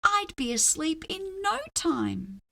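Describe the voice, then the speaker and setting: Woman doing a kids read aloud, unhurried, really lively pitch, expressive storyteller. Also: vocal fry, background noise. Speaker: storybook reader.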